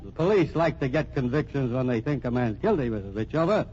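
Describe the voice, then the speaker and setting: An actor playing a blunt, short-tempered American homicide captain, hard-boiled and accusing, in an old-time radio crime drama. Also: male voice, mid-range voice, expressive, quick pace, a little mellow, lightly acted. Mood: accusing, sure. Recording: dull, noisy old radio recording.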